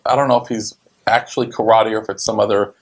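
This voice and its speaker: A male host chatting casually on his talk show. He is eager and upbeat, and he fills his pauses with ums and uhs as he introduces a guest. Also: fairly low pitch, fairly even intonation, a little hiss or hum. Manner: enthusiastic, many fillers. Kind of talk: casual radio show chat.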